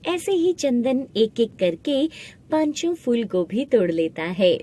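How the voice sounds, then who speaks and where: A woman narrating a scripted animated story, with music playing behind her voice. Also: fairly high pitch, slow pace. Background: background music.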